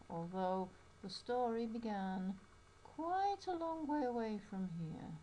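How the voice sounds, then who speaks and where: A woman's voice: mid-range voice, warm tone, a little hiss or hum, rough recording. A middle-aged woman with a Yorkshire accent, an English teacher chatting through her own story in a personal video. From England. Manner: chatty, storytelling with small asides.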